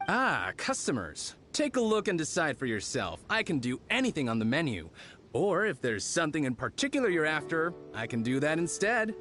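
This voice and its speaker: A man's voice: on the high side, very animated, moderate pace, fairly bright tone. A man voicing a brash, confident, impatient street-food cook in a video game. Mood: busy, confident. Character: cocky, brusque male street-food chef. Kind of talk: video game character dialogue. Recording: music behind the voice.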